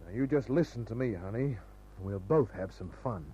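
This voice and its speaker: An actor playing a wry, cool-headed private detective in an old radio noir, narrating and talking in terse, clipped phrases. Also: male voice, on the low side, expressive, moderate pace, lightly acted. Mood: cool, confident. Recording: muffled old radio recording.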